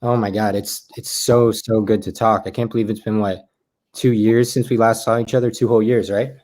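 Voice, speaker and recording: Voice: mid-range voice, steady tone, brisk, darkish tone, lightly acted. An actor playing an awkward, eager young adult catching up with an old friend in naturalistic play dialogue, hesitant and rambling. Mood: fond. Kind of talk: naturalistic play dialogue over video call.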